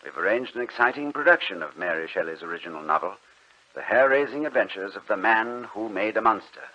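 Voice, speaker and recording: A man's voice: fairly low pitch, expressive, fairly quick. A man working as a radio announcer, reading a script in a polished, formal old-time broadcast style. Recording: dull old radio recording.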